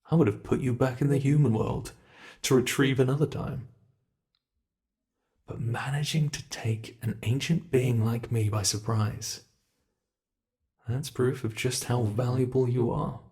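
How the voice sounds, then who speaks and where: Man voicing a slow-talking, possessive ancient monster. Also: mid-range voice, a little mellow. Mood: smug, possessive. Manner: slow, theatrical, teasing, formal wording. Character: ancient male monster, smug, possessive, playfully menacing captor. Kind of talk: ASMR roleplay, scripted monologue.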